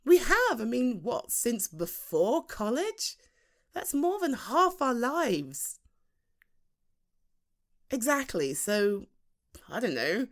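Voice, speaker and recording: British woman, late thirties best friend acted by a woman, teasing, self-deprecating, lively swooping intonation, slow halting chat, intimate audio roleplay. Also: on the high side. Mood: reflective, fond. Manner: halting, chatty, with sighs and chuckles. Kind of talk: ASMR roleplay acting, intimate conversational monologue.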